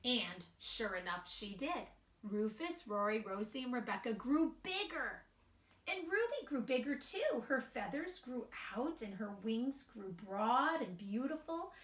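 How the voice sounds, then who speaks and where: A woman with a fairly high voice reads a children's story very slowly and expressively. She leaves emphatic pauses between phrases. The sound is roomy and echoing. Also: big pitch swings, a bit bright. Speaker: picture book reader. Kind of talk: read-aloud children's storytelling.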